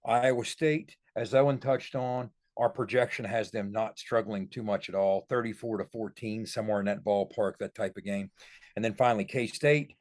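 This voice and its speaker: A man with a slightly low voice talks football as a podcast analyst. His delivery is folksy and explanatory, and the sound is dry and close. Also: fairly even intonation, normal speed, dry recording. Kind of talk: casual sports analysis.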